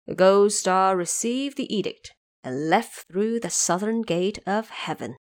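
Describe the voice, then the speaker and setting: A woman's voice: medium pitch, a little slow. A woman narrating a classic fantasy story from a script, as a storyteller.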